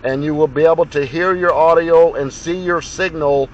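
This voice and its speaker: A man's voice: on the high side, steady tone, moderate pace, a little hiss or hum. A man from the USA, a radio operator, speaking in a direct, procedural way. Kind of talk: radio contact exchange. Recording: muffled radio reception.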